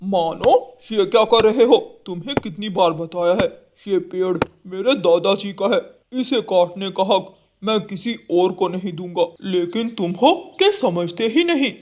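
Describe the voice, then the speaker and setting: A man's voice: high voice, animated, a little slow, darkish tone, a little hiss or hum. A man voicing a spiteful, bullying crow villain in a cartoon story, taunting and threatening. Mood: accusing. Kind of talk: Hindi cartoon story acting.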